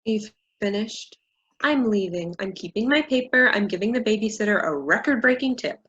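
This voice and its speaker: A woman's voice: medium pitch, expressive, moderate pace, a bit bright, natural voice. A woman playing a haughty, scornful, condescending character in a staged play reading. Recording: dull lo-fi recording.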